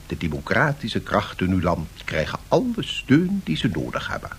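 An actor playing a patronising, evasive international official in a satirical radio drama, speaking in a measured, officious, placating way. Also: male voice, low voice, wildly swooping pitch, slight background noise, full character voice. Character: evasive, patronising male League of Nations bureaucrat.

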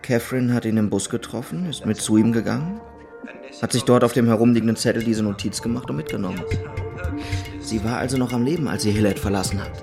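An actor playing a driven, stubborn police detective, insistent and emphatic in a radio drama. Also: male voice, medium pitch, fast talker, gravelly, music in the background, lightly acted. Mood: analytical. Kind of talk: German radio drama dialogue.

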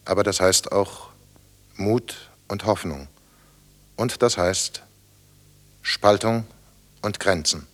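An actor playing a sober chronicler of the postwar years, narrating a radio drama deliberately and with emphasis. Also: male voice, deep voice, fairly bright tone.

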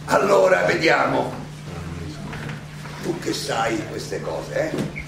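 An actor playing a defiant, ironic old philosopher defending himself at trial, in a theatrical monologue full of rhetorical questions and dramatic pauses. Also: male voice, mid-range voice, very animated, gravelly, a crowd in the background, full character voice. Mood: taunting, challenging. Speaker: stage actor. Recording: echoey, distant, noisy stage recording.

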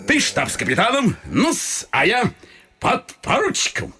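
A man's voice: sits high, huge pitch swings, measured pace, creaky, bright, forceful tone, husky, full character voice. A man playing a talkative, touchy old retired officer turned provincial clerk in a Russian radio vaudeville, theatrical and effusive, with folksy old-fashioned turns of speech. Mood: nostalgic, boastful. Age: older. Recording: stage radio play with faint music.

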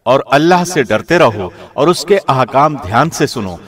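A man reading a scripture translation in a formal, measured, solemn radio presenter's delivery. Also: medium pitch, animated, a bit bright.

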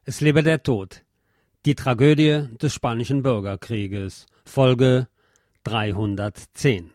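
A man reading a scripted history narration as narrator, in a measured documentary manner. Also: mid-range voice, over music.

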